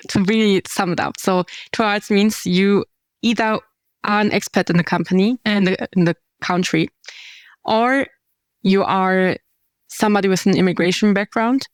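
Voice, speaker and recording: A woman's voice: medium pitch, smooth, dry recording. A woman speaking as a podcast guest, explaining her community and searching for words as she goes. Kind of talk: conversational podcast interview.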